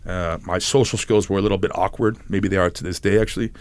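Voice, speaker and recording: A man's voice: low-pitched, fairly even intonation, fast talker, no room sound. A man in his forties from San Diego, a podcast guest telling stories casually, rambling and laughing between phrases. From San Diego, United States. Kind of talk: casual storytelling.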